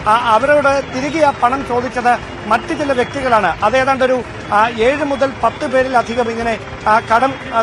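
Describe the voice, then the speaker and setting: A man reports live. He talks in long, halting explanations that circle back on themselves, out on a street with crowd and traffic clearly around him. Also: very high-pitched, poor audio. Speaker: field news reporter. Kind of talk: live news report, unscripted commentary.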